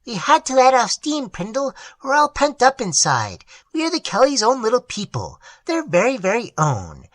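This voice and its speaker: Tiny wheedling male troll, as a woman puts him on, shrill and tinkling, wildly swooping pitch. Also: huge pitch swings, normal speed, few pauses, no room sound. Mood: pleading.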